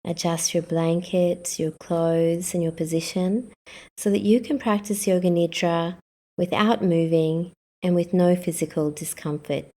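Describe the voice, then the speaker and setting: Woman leading yoga nidra, really slow and calm, lowish voice, short measured phrases. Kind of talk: guided meditation.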